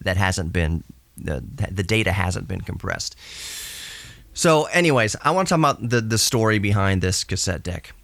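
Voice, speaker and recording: A man's voice: sits low, fairly bright tone, no echo. A man hosting a podcast in a casual, rambling technical monologue with a hobbyist's enthusiasm, recorded to cassette tape. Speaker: podcast host.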